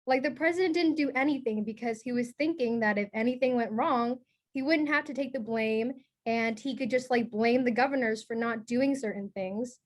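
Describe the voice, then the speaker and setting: A woman's voice: on the high side, normal speed, natural voice. A woman acting in a documentary play as an outspoken, indignant critic, speaking in a casual, conversational way. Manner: opinionated, filler-heavy casual speech with "like". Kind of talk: documentary theater dialogue, conversational.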